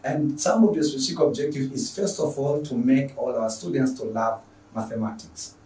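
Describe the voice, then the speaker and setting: A man's voice: mid-range voice, normal speed, mellow. Echoey, distant Tanzanian male teacher. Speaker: online secondary school mathematics teacher. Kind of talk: spoken lesson introduction to viewers. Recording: echoey room, distant microphone.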